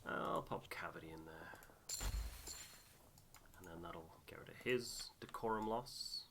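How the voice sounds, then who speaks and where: A man's voice: deep voice, unhurried, gravelly, noisy background. A man giving casual gameplay commentary, thinking aloud and reading out on-screen text. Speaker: host of a game playthrough. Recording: music behind the voice. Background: game music with guitar.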